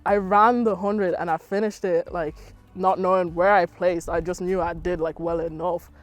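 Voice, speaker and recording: A young Irish woman, an athlete, chatting casually about her running, full of "like" and lively retellings. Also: fairly low pitch, normal speed, dry recording, soft background music. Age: young adult. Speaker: athlete interviewee. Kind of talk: casual interview answers.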